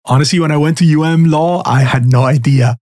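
A man's voice: fairly high pitch, brisk, a little mellow, no echo, clean studio sound. A middle-aged man from Cuba, a lawyer guest chatting casually about his career, with an easy, self-deprecating manner. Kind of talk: casual interview chat.

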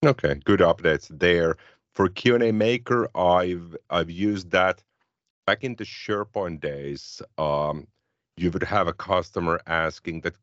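A man whose first language is Finnish, co-hosting a podcast and explaining cloud services in a relaxed, chatty way. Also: low-pitched, no echo, dull audio. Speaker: podcast co-host. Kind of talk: casual tech chat.

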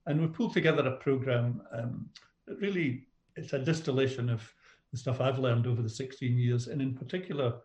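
A man's voice: mid-range voice, brisk, darkish tone, highs cut off. A middle-aged man with a Scottish accent, a business coach answering questions in a conversational interview. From Scotland. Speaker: business coach, podcast guest. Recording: roomy, echoey sound.

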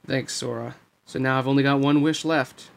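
A man's voice: medium pitch, fairly even intonation, moderate pace, gravelly, lightly acted. A man voicing an impassioned young street-rat hero, urgent and earnest, in a storybook reading. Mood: grateful.

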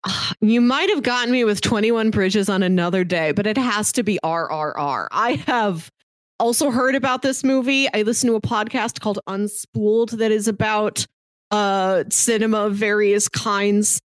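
A woman co-host speaks in a medium-pitched voice with very little room sound. She chats casually about films in articulate, measured phrases. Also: no room sound. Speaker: podcast co-host. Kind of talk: casual movie podcast chat.

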